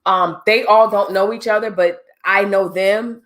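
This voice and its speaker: A woman's voice: mid-range voice, steady tone, a little slow, fairly bright tone. A middle-aged woman hosting a livestream, talking casually and directly to her viewers with preachy asides. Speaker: livestream host.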